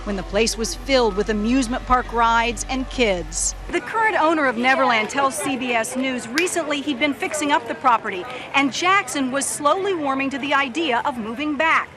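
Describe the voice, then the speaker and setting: A woman news correspondent narrating a scripted report in a crisp reporter delivery. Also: high-pitched, moderate pace, few pauses.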